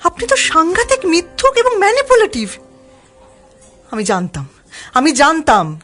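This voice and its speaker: A woman playing a feisty, teasing young woman who is quick to scold and likes to fib, in a romantic comedy audio drama. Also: extremely high pitch, wildly swooping pitch, fairly bright tone, soft background music, full character voice. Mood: indignant. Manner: teasing, quick to scold, mixes in English exclamations. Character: feisty, teasing young woman, sharp-tongued, confessed playful fibber.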